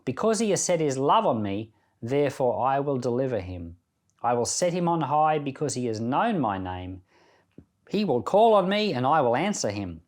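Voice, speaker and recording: A man's voice: mid-range voice, big pitch swings, moderate pace. A man speaking as a pastor on a Bible podcast, reading scripture and offering reflective, informal devotional commentary.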